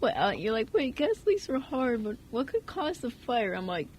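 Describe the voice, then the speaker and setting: Young female co-host, high voice, playful slangy banter, slight noise in the room. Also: sing-song, normal speed, a little mellow. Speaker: co-host and writer of an amateur radio drama show. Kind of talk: casual group chat.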